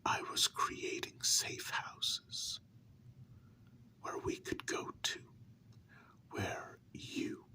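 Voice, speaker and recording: A man voicing a hurt human lover confronting a vampire partner in a whispered ASMR role-play, with earnest, deliberate, drawn-out words. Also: sharp tone, exaggerated voice. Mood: indignant, earnest. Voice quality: breathy unvoiced whisper.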